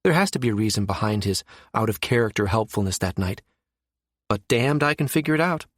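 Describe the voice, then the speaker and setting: A man's voice: lowish voice, animated, fairly quick, no room sound, clean studio sound. A man voicing a skeptical, wry professional woman who muses emphatically, in an audiobook. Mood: puzzled, probing. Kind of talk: audiobook character dialogue.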